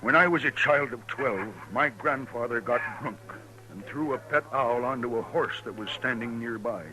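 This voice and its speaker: A man's voice: medium pitch, normal speed, over music, close to an everyday voice, poor audio. A man playing a lonely, fiery old medicine-show professor with florid, formal oratory in a radio western. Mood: courteous, pleading.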